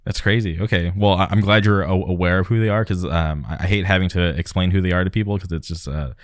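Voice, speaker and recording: Soft-spoken, hesitant male podcast host. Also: deep voice, fairly even intonation, fast, no echo, studio quality. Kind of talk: casual podcast interview.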